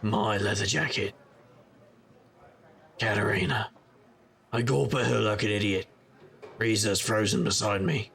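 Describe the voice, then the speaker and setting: An actor playing a gruff, world-weary middle-aged private investigator in an audio drama, narrating with wry noir weariness in a gravelly voice. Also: male voice, on the low side, fairly even intonation, unhurried, long pauses, fairly bright tone. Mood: wistful, wry.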